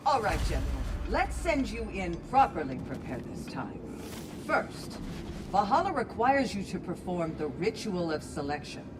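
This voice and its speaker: A woman's voice: mid-range voice, very animated, background noise, close to an everyday voice. Woman acting a commanding warrior valkyrie. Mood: brisk, commanding. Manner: authoritative, measured, instructive. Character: commanding, formal female warrior valkyrie leader. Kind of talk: dramatic video game acting.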